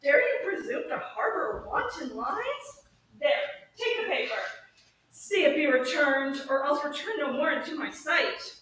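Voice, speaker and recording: A woman playing an impulsive, haughty, lovesick young gentlewoman in a theatrical Shakespearean staged reading, exclaiming and swinging between scolding and doting. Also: high-pitched, lively intonation, measured pace. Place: echoey room at a live staged reading. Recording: echoey room, distant mic, faint noise.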